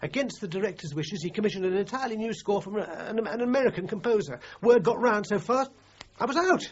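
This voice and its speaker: A man's voice: high voice, lively intonation, fast. An actor playing a defensive, down-on-his-luck film composer in a radio crime drama, hesitant and prickly in his self-justification. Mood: agitated. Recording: muffled, noisy radio drama recording.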